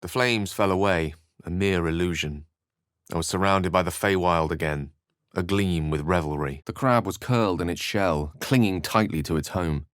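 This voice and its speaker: A learned human wizard in a fantasy video game. His voice sits low, and he speaks in articulate, theatrical phrases. He comes across as wry and courtly, with a streak of melancholy. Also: normal speed, fairly bright tone, dry recording, studio quality. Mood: measured, grave. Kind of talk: video game character dialogue and narration.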